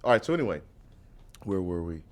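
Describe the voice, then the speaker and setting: A middle-aged man hosting a talk radio show, speaking in a measured way and often restarting his sentences. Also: low-pitched, very animated. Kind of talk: casual talk radio chat.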